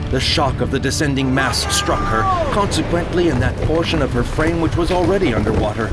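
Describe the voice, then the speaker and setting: A man narrating a dramatized horror tale of the sea in a grave, measured voice that sinks low and quiet on dark phrases, with music playing underneath.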